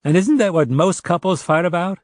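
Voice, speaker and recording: Man voicing a curt, defensive young man, raised pitch. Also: moderate pace, no room sound, clean studio sound, lightly acted. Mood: guarded, conceding. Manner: curt, guarded. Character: defensive, suspicious young man being questioned by police. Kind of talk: audiobook character dialogue.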